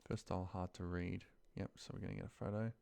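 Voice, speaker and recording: A man thinking aloud in a muttered, pausing way as he talks through spreadsheet work on his computer. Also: deep voice, steady tone, vocal fry, dark tone, clear, resonant tone. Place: at a computer desk, indoors.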